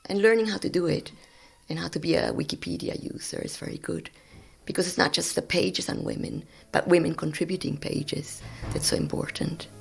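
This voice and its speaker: Gravelly older woman with Spanish roots. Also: on the low side, brisk. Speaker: guest scientist. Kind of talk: conversational storytelling.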